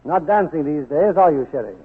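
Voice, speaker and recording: A man's voice: a little high, lively intonation, brisk, slightly rough. An American man hosting a 1940s radio show, announcing from a script in a polished, theatrical way. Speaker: host and announcer. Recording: muffled 1940s radio broadcast with music.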